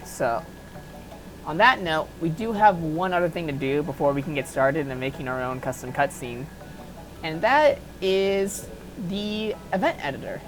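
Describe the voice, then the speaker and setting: A woman's voice: low-pitched, lively intonation, a little slow, soft background music, poor audio. A woman giving an informal, unscripted tutorial, talking offhandedly and thinking aloud as she goes. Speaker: tutorial host. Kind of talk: casual unscripted software modding tutorial.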